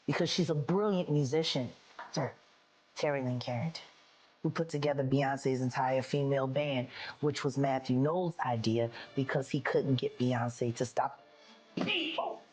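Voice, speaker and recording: A woman's voice: rumbling low, expressive, normal speed, a little mellow, slight background noise. A woman talking casually as a gossip commentator, blunt and profane in her manner.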